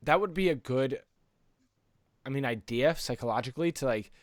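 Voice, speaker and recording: Animated man in casual podcast chat, dry sound. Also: mid-range voice, normal speed, no echo. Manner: animated, playful, informal. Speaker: podcast co-host.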